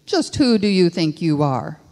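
A woman's voice: lowish voice, big pitch swings, unhurried, mellow. Stern, fault-finding male water keeper, read by a woman, scolding, in a reverberant hall. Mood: suspicious. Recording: long reverb of a large hall.

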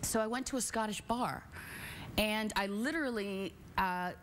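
A woman's voice: medium pitch, moderate pace, slight background noise. A woman in her forties, an actress guest on a late-night show, telling stories in a bubbly, laughing way. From Canada. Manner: bubbly, laughing, animated storytelling. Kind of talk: casual chat. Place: TV studio with audience.

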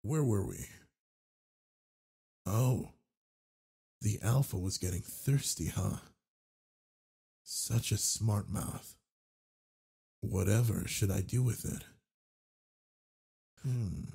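A man playing a flirtatious, confident werewolf alpha with a husky, gravelly voice in an intimate roleplay audio drama, teasing and tender toward the listener. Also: fairly low pitch, drawn-out words, pauses often, dark tone, exaggerated voice.

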